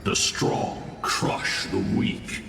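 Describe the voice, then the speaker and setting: A man narrating a game's history in a grave, measured way. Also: sharp tone, a little hiss or hum. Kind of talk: solemn scripted game narration. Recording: deep echoing reverb under game music.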